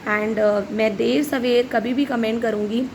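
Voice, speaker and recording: A woman teacher with a hoarse voice, pitched a little high, works through viewer questions in plain, direct Hindi with English mixed in. A faint hiss runs under the recording. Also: fairly even intonation, measured pace. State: hoarse from shouting at school. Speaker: teacher and channel host. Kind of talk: explaining answers to viewer questions.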